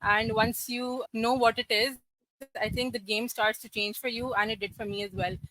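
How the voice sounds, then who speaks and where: A woman in her twenties from Karachi, a podcast guest telling her career story in a steady, articulate, matter-of-fact way. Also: a little high, moderate pace, dry recording, soft background music, low-quality recording. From Karachi, Pakistan. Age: 20s. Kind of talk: podcast interview.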